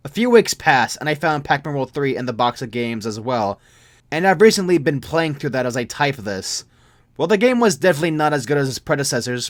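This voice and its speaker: A man's voice: medium pitch, no echo. A young man reading a scripted first-person story as a creepypasta narrator. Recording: music behind the voice. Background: music.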